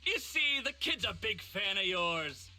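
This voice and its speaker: A man's voice: sits high, very animated, a little slow, pushed and bright, soft background music, heavily acted. A man voicing an enthusiastic, coaxing, money-minded film director in a video game, theatrical and salesmanlike. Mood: upbeat, coaxing.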